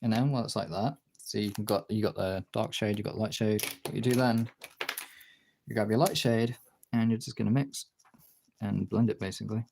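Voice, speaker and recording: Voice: mid-range voice, fairly even intonation, brisk, gravelly, a little mellow. Someone giving a casual hands-on art tutorial, rambling and thinking aloud while working.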